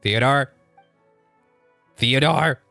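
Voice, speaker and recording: A man's voice: medium pitch, drawn-out words, gravelly, pushed and bright, no echo, soft background music. A man doing casual live commentary on a game as a streamer, chatty and reacting aloud with exclamations and repeated words. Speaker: livestreamer.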